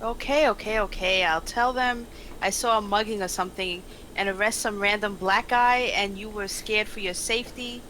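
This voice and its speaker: A woman's voice: mid-range voice, steady tone, normal speed, sharp tone, natural voice, rough recording. A woman playing a casual, pliable young male police officer in his mid-twenties at a table reading. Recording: faint room noise.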